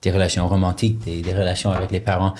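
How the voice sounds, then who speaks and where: A young man co-hosting a podcast, telling stories in a relaxed, easygoing way. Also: sits low, quick pace. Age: young adult. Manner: laughs easily, relaxed storytelling. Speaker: podcast co-host. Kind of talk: casual chat.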